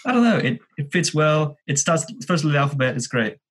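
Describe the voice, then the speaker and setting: A man in his twenties with a husky voice, chatting casually as a guest, hesitant and dryly joking. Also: on the high side, big pitch swings, fairly quick, fairly bright tone. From Sydney, Australia. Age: 20s. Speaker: podcast guest, engineering student. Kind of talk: casual chat, telling his own story.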